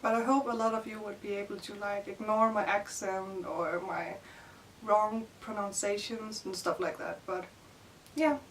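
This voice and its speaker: A woman's voice: mid-range voice, steady tone, a little slow, recorded from a distance, a little hiss or hum, poor audio. Shy young Danish-accented woman vlogging. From Denmark. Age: young adult. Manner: shy, hesitant, many fillers like "like" and "you know". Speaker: book vlogger. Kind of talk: casual vlog answering tag questions.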